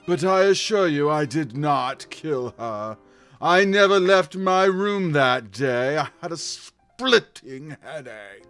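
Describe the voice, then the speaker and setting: A man playing a haughty rich widower in an audio comedy, speaking in a drawn-out, pompous way. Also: on the high side, lively intonation, fairly bright tone, soft background music. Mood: indignant, pompous.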